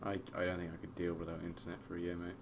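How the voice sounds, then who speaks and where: A man's voice: deep voice, monotone, very fast. A young man, co-hosting a casual podcast chat, swearing freely and calling his friend mate. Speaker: podcast co-host. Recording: faint background noise.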